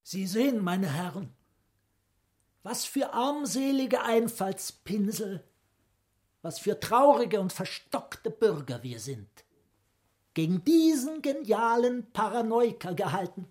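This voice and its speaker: An actress playing a solemn, theatrical lecturer in a literary radio play, declaiming deliberately. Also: female voice, mid-range voice, lively intonation, a little mellow, breathy edge. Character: solemn, theatrical female lecturer revering madness.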